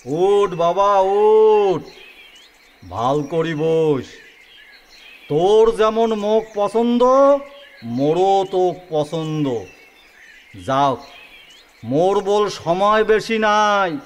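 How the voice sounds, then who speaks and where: Man playing slow, pompous village holy man. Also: a little high. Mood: solemn, commanding. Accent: North Bengal Rajbanshi. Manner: solemn, slow, pronouncing. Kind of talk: rural audio drama acting.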